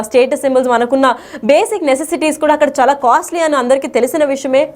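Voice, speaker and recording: Woman, high voice, rapid pace, news explainer, wordy delivery, English terms mixed in. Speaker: news presenter. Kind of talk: news explainer commentary, partly unscripted.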